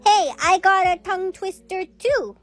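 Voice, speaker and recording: A woman's voice: very high voice, a little slow, a bit bright, faint music behind. A woman voicing an innocent, timid little girl who talks in a careful, childlike way in a short skit. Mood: curious, careful. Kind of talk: acted short melodrama skits.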